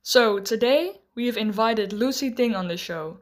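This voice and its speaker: A woman host giving a scripted, measured explanation and then asking interview questions. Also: a little high.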